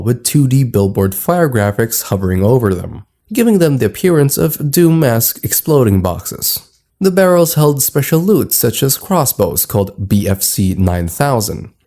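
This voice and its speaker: Man narrating in a dark, mellow voice. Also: on the low side. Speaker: video narrator. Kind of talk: scripted explainer narration.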